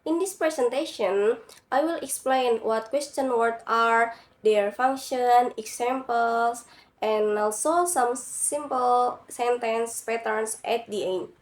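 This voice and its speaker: Brief: young woman, stuffy from a cold, high voice, careful read-through of a student presentation. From Indonesia. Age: young adult. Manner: careful, slightly halting non-native English. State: has a cold. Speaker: university student. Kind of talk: reading a prepared class presentation.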